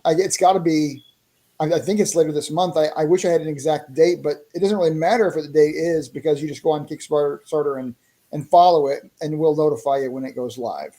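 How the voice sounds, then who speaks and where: A man's voice: fairly high pitch, fairly quick, mellow. A man talking casually as a guest on a podcast, enthusiastic and explanatory, often repeating his phrases. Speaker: interview guest, indie comic creator promoting a fighting game.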